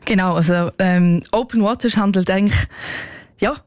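A woman's voice: medium pitch, normal speed, darkish tone, no echo. A young woman with a Swiss German accent, a singer-songwriter, who answers interview questions thoughtfully and with hesitant fillers. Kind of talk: radio interview.

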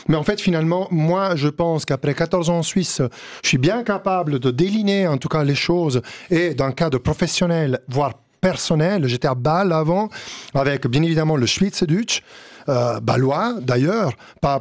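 Italian-accented male guest, a bit high-pitched, earnest talk with fillers. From Italy. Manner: earnest, direct, with many fillers. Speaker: guest, engineer and HR consultant. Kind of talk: radio discussion.

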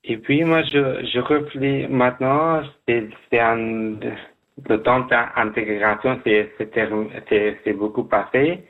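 A man guesting on a radio show, telling his story haltingly with many restarts, heard over a phone line. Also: medium pitch, fairly even intonation. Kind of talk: halting personal account.

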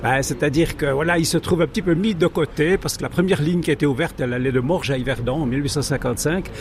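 A man's voice: fairly high pitch, fast talker, slight background noise. A man with a Swiss French accent explaining history conversationally as a guest, hesitant, digressive and good-humoured. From French-speaking Switzerland, Jura arc. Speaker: guest, amateur railway historian.

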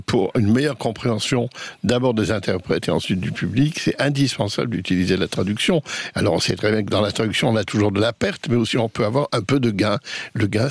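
Man, gravelly, husky, dry close sound, interview guest, thoughtful, halting. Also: on the low side, dry recording. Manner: thoughtful, searching for words, frequent restarts. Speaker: opera stage director and translator.

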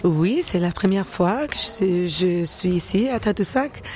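A woman's voice: fairly low pitch, expressive, a little slow, darkish tone, a little hiss or hum. A woman in her forties, a Canadian singer-songwriter whose first language is English, answering radio interview questions hesitantly with many fillers. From Canada, born in Toronto. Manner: hesitant, many fillers and restarts while searching for words.

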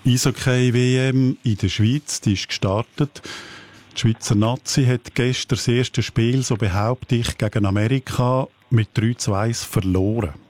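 A man's voice: medium pitch, fairly even intonation, a little slow, a little mellow. A man with a Swiss German accent hosting a casual radio quiz, chatty and joking.

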